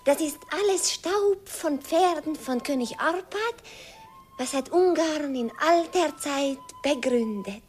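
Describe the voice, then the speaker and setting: An actress playing a sweet, playful young Hungarian girl in a radio play, speaking halting German with a Hungarian accent and mixing up words. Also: sits high, expressive, a little mellow, soft background music. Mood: earnest. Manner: broken, halting German with wrong word order.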